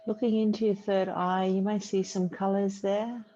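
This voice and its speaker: A woman yoga teacher leading a guided relaxation meditation in a soothing, unhurried way with long pauses. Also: mid-range voice, level tone, mellow. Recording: dull muffled audio, faint background noise.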